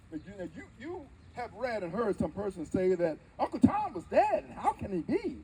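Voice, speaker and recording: Actor as a dignified, high-pitched old-time preacher orator. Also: male voice, creaky, mellow, husky, exaggerated voice, poor audio. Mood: firm, dignified. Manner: emphatic, deliberate storytelling with dramatic pauses. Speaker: live history interpreter. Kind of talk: theatrical first-person oratory before an audience.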